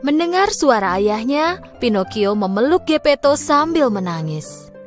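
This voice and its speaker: A woman narrating a scripted fairy tale for children in an expressive, clearly phrased way. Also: a little high, measured pace, faint music behind. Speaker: storyteller.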